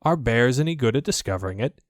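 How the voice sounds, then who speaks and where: A man's voice: mid-range voice, lively intonation, quick pace, dry recording, clean studio sound, lightly acted. A man voicing a naive, good-natured toy bear in a storybook, speaking in an eager, wondering way. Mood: eager, puzzled. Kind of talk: storybook character voice.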